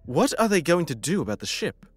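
Hesitant fantasy companion, played by a man; lighter pitch, animated, faint music underneath. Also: a little fast, breathy edge, dry recording. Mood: uneasy. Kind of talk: fantasy audio drama acting.

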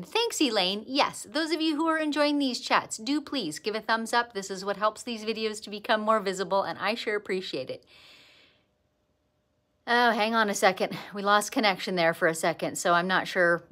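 A woman's voice: mid-range voice, brisk. Chatty Canadian woman on a livestream. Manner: chatty, warm greetings to viewers, lively bursts of excitement. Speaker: quilting teacher and livestream host. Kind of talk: casual livestream chat. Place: indoor sewing studio.

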